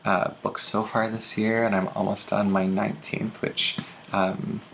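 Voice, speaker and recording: A man's voice: lowish voice, little pitch movement, measured pace, gravelly, fairly bright tone, slight background noise. A young man talking casually about books in a vlog, with lots of ums and uhs. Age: young adult. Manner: chatty, many ums and uhs. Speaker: booktuber. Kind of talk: casual book tag vlog.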